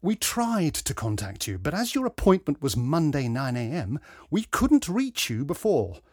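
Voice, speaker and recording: A man reads as a hospital receptionist, a woman with a polite, firm manner and restrained irritation. The recording is dry, the delivery varied in pitch. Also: huge pitch swings, measured pace, a little mellow, husky, no room sound, heavily acted. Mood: politely firm. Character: patient but irritated female hospital receptionist.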